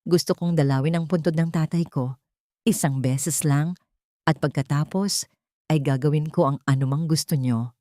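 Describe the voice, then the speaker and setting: A woman voicing a meek, suffering young daughter-in-law, soft and trembling but determined, in a dramatic story. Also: low voice, fairly even intonation, moderate pace, no echo, studio quality, lightly acted. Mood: pleading, resolved.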